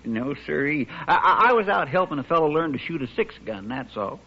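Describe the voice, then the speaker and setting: An actor with an American country drawl playing a folksy, deferential marshal's helper in a 1950s radio western, on a muffled old recording. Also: male voice, a little high, sing-song, brisk. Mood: defensive.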